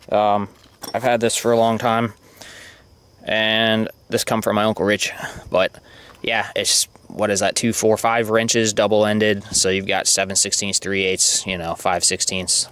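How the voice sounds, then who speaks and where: A man's voice: lowish voice, smooth. Laid-back man showing his gear. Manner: relaxed and rambling, with many fillers. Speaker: motorcycle vlogger. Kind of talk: casual show-and-tell narration. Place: outdoors at a campsite.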